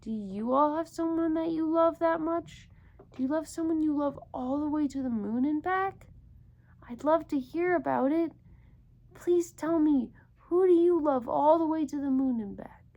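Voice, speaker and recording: A woman's voice: high voice, normal speed, dark tone, dull audio. A woman reading a picture book aloud to children, full of gushing wonder and talking directly to her young listeners.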